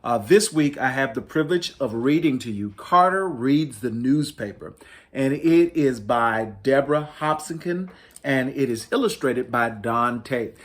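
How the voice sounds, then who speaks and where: Expressive man reading a children's storybook, lively pitch. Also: measured pace. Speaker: children's storybook reader, host. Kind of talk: expressive picture book read-aloud for families.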